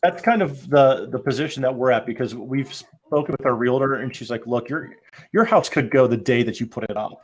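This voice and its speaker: A man's voice: medium pitch, brisk, husky. A middle-aged man talking informally as a panelist on a podcast. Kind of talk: casual chat.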